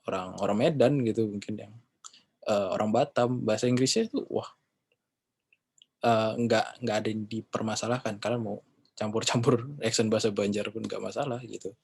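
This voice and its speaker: A man's voice: fairly low pitch, steady tone, normal speed, creaky, darkish tone, husky. A man giving a casual class talk, rambling and often correcting himself with chatty fillers. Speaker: English class speaker.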